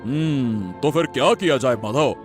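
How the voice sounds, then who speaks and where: A man playing a kindly, courteous king in a storybook drama, speaking in a gentle, deliberate, formal way. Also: mid-range voice, lively intonation, faint music behind, close to an everyday voice. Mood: gracious, thoughtful.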